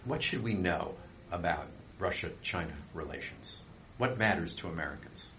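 Low-voiced man asking direct questions. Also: animated, moderate pace, bright, forceful tone, slight background noise. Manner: short, direct probing questions. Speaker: interviewer, organization president. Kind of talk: interview questions.

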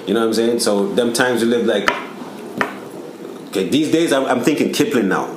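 Man, Toronto, guest rapper, slangy, relaxed, echoey room. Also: medium pitch, normal speed. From Toronto, Canada. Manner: laid-back, slangy, many fillers. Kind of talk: casual interview chat. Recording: roomy with faint background noise.

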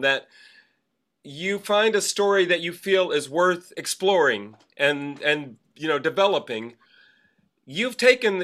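A man with a high, bright voice hosting a conversational podcast. He tells a chatty anecdote with a wry edge before steering it into a question. His tone stays clear and forward. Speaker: podcast host. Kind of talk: casual conversational interview.

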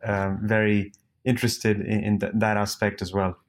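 A man hosting an interview show, speaking conversationally in a polite, halting way. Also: on the low side, flat delivery. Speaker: podcast host, interviewer.

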